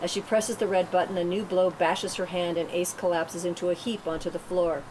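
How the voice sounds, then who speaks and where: A woman's voice: on the low side, little pitch movement, a little fast. A woman reading scripted stage directions as narrator at a table read, in a noisy room.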